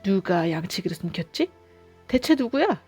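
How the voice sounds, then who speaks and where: A woman's voice: medium pitch, big pitch swings, normal speed, darkish tone, breathy edge, faint music behind. A woman voicing a tyrant's angry henchman in a storybook, barking threats and orders. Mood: angry threatening.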